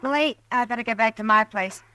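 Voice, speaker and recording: A woman's voice: on the high side, fairly quick, sharp tone, slight background noise. An actress playing a dry, teasing frontier saloon woman in an old radio drama. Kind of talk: 1950s radio drama acting. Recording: old radio broadcast recording.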